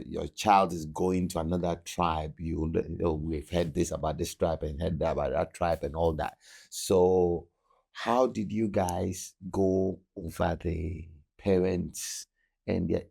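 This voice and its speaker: A man's voice: low-pitched, creaky, dark tone. A man hosting a podcast conversation, talking casually and stumbling over repeated words as he searches for them. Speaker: podcast host. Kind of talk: casual interview chat.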